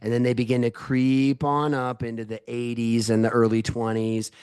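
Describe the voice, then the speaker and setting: A man's voice: mid-range voice, flat delivery, normal speed, a little mellow, clear, resonant tone. Man explaining emphatically as podcast guest. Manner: didactic, emphatic, checks listeners follow. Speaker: podcast guest, health expert. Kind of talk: explanatory interview talk.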